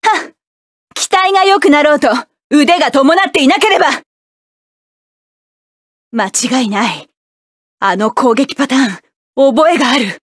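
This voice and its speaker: A proud, sharp-tongued mecha pilot. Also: female voice, high voice, unhurried, pauses often, no echo, studio quality. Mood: fierce, commanding. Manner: curt, commanding barks. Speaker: game character. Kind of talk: video game battle voice lines.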